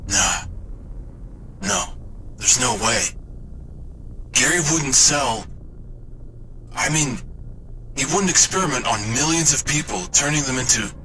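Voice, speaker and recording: A man's voice: mid-range voice, animated, takes it slowly, long pauses, bright, forceful tone, slight background noise. An actor playing a loyal, shaken crewman in a dramatic cyberpunk game cutscene. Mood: upset, disbelieving.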